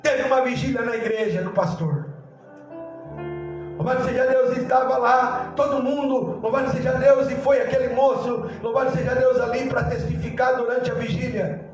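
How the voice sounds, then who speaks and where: A man's voice: very high voice, steady tone, moderate pace, heavy reverb, recorded from a distance, over music. A man with a Brazilian accent preaching in a fervent Pentecostal style, telling stories with frequent interjections of praise. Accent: Brazilian Portuguese. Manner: fervent, repetitive praise interjections, acts out dialogue. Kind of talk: Pentecostal sermon with storytelling.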